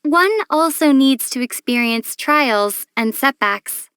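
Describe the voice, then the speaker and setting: A woman voicing a young female adventurer in a video game, measured, thoughtful and gently dry. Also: sits high, animated, clear, resonant tone, no echo, heavily acted. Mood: instructive. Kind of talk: video game character voice acting.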